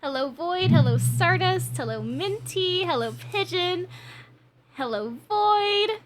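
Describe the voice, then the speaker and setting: A woman's voice: very high-pitched, animated, takes it slowly, slight background noise. A woman in her twenties chatting casually with viewers on a game livestream, with a chatty, bubbly, giggly manner. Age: 20s. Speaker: game streamer.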